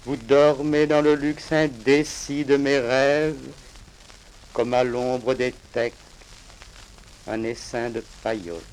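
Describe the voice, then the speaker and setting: A crackly vinyl recording of a male poet from Reunion Island reciting his own verse. His medium-pitched voice moves slowly and solemnly, in a measured declamatory style with deliberate pauses between phrases. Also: steady tone, a little mellow. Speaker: poet reading own work.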